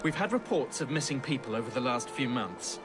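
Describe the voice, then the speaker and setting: A man's voice: mid-range voice, expressive, a little fast, music in the background, natural voice. An actor playing a concerned, matter-of-fact Victorian London policeman with an English accent in a video game. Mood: earnest, informative. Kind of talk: video game cutscene acting.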